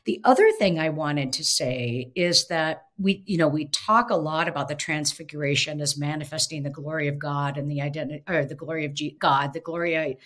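A woman's voice: sits low, normal speed, few pauses, a bit bright. Middle-aged woman, professor co-host, reflective and musing, webcam sound from a home office. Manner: reflective, thinking aloud. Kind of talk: casual theological discussion. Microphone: video call webcam.

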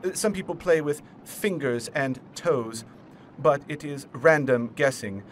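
Man playing nervy puzzle villain, smug, explanatory, highish pitch, faintly noisy recording. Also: measured pace, lightly acted. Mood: explaining, nervy. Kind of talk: comic fan dub acting.

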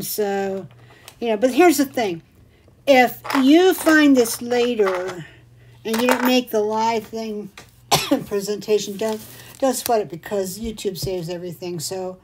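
An older woman with a slightly hoarse, muffled voice, chatting in a folksy, rambling way as she hosts a craft live stream. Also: mid-range voice, darkish tone, slight background noise.

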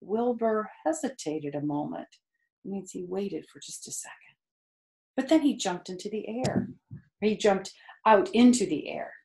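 A woman's voice: mid-range voice, expressive, unhurried, a little mellow. A middle-aged woman reading a children's book aloud and explaining it simply to young listeners. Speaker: storytime host. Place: small furnished room at home, indoors. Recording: roomy, echoey home recording.

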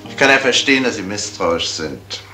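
Very deep-voiced, understated gentleman sleuth. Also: expressive, faint music behind. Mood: courteous. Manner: understated, courteous, deliberate. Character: modest, evasive, polite amateur sleuth. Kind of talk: 1970s German radio crime drama acting. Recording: noisy old radio play recording.

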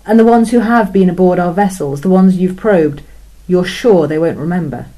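A woman's voice: fairly low pitch, normal speed, dark tone, lightly acted, low-quality recording. A woman playing a skeptical alien official. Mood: incredulous. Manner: incredulous questioning, wry and skeptical. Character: incredulous alien female official, skeptical and wry. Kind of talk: two-voice reading of a science fiction story.

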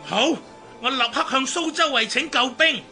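An actor playing a haughty, easily alarmed eunuch official in a historical radio drama, imperious and indignant in manner. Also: male voice, very high voice, normal speed, loud music behind the voice.

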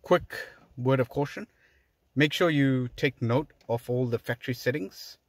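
A man's voice: mid-range voice, measured pace, no echo. A man hosting a how-to video, explaining things step by step in a casual, conversational way inside a parked car. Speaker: video host giving a tutorial.

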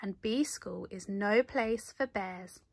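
A woman's voice: mid-range voice, slow, gravelly, breathy edge, no echo, heavily acted. A woman voicing an authoritative queen bee who runs a school, with a firm, announcing manner, in a picture book. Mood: stern.

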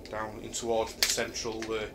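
A man's voice: fairly low pitch, completely flat delivery, moderate pace, very noisy. A middle-aged man with a Yorkshire accent casually reviewing a camping chair in his kitchen, hesitating and thinking aloud with many ums. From Yorkshire, England. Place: home kitchen, indoors. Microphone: camera a few feet away.

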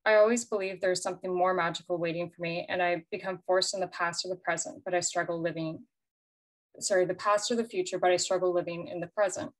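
Woman reading a statement, lower voice. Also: flat delivery, low-quality recording. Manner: slow, measured reading. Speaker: exhibiting artist. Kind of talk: reading a prepared artist statement.

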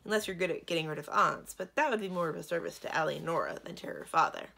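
A woman voicing a brisk, practical young princess in a storybook reading. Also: low-pitched, vocal fry, sharp tone, slightly rough, heavily acted.

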